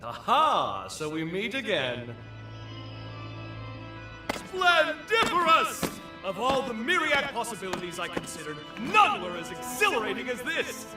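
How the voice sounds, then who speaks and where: A flamboyant game scientist with a high voice that leaps around constantly. He is exuberant and grandiose, relishing florid words in a theatrical way. Loud music plays behind him. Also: wildly swooping pitch, a little slow, full character voice. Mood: delighted, grandiose. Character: flamboyant, excitable eccentric male scientist hero. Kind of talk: video game cutscene acting.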